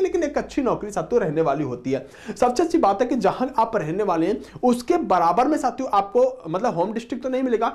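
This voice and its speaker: High-voiced man teaching exam candidates. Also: a little mellow. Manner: repeatedly addresses listeners as friends. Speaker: exam coaching teacher and channel host. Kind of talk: informal explanatory lecture to students.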